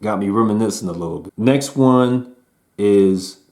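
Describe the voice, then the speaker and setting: Laid-back low-voiced man reviewing music. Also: normal speed. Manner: relaxed and conversational, with slang and fillers. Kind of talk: casual album review.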